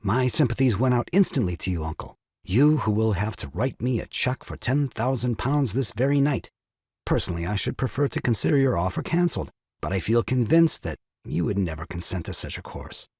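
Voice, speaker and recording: A man's voice: medium pitch, very animated, fairly quick, darkish tone, breathy edge, no echo, studio quality. An actor playing a brazen, bluffing young husband and new father in a comic farce, glib and formal with mock sympathy. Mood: brazenly confident. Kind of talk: comic stage farce acting.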